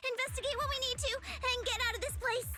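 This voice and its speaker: An extremely high-pitched girl's voice over game music. a jumpy, earnest young video game character. She sounds hesitant and easily frightened. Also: fairly even intonation, fast. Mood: scared, flustered. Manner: nervous, hesitant.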